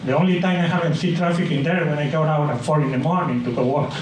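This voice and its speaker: A man giving a public comment at a town meeting, earnest and halting, with uneven grammar. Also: a little high, steady tone, slight background noise. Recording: echoey hall, picked up from a distance.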